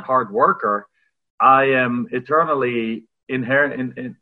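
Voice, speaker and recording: German-accented man on a tinny line. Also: mid-range voice. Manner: reflective, many fillers and restarts. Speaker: podcast guest. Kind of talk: casual interview storytelling. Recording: phone-like remote audio.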